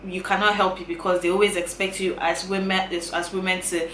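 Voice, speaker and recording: Woman, 20s, chatty YouTuber, bright tone, roomy echo, faint room hiss. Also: on the low side, flat delivery, brisk. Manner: chatty, repeats phrases, earnest and encouraging. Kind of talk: casual advice vlog to camera. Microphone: camera mic.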